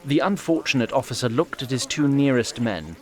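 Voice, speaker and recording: A man's voice: mid-range voice, lively intonation, a little fast. A man narrating a scripted fantasy audio drama in a literary, wry storytelling manner.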